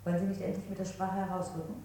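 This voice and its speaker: A woman's voice: lowish voice, little pitch movement, racing, dark tone, natural voice. An actress playing a pressing, coaxing female interrogator in a German radio play. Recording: distant, very echoey, hissy radio recording.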